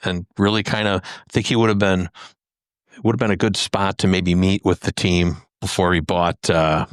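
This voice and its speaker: A man with a fairly low voice co-hosts a podcast, recorded close and dry. He talks casually and conversationally, often tagging his points to check that others agree. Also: level tone, moderate pace, clean tone, no echo. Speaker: podcast co-host. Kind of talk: casual discussion.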